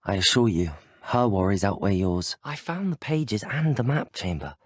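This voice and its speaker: Video game hero: British schoolboy wizard, courteous and curious, husky, animated intonation. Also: male voice, fairly low pitch, moderate pace, no echo, clean studio sound. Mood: polite, dry. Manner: polite, well-spoken, formal. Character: polite, curious, brave teenage student wizard. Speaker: video game player character. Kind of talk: scripted video game dialogue.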